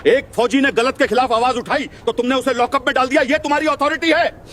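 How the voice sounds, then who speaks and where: Stern army officer, very high male voice acted. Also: fairly even intonation, quick pace, a bit bright, a little hiss or hum. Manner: authoritative, indignant. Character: commanding male army officer, stern protector of his men. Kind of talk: scripted animated moral story.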